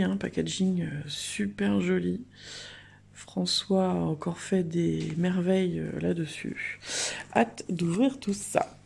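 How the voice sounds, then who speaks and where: A woman's voice: medium pitch, vocal fry, darkish tone, slightly rough. A woman giving chatty, enthusiastic casual commentary as the host of a card unboxing video.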